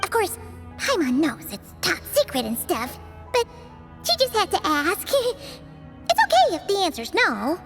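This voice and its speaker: A very high, bright little voice for a tiny excitable girl companion. She chatters chirpily, talks about herself by name, and her pitch leaps all over. Soft game music plays under her lines. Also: measured pace, exaggerated voice. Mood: pleading. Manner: chirpy, refers to herself in third person. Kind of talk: video game voice acting.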